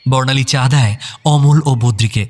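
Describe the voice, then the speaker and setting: A man's voice: medium pitch, moderate pace, darkish tone. A man giving scripted narration for an audio drama. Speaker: story narrator.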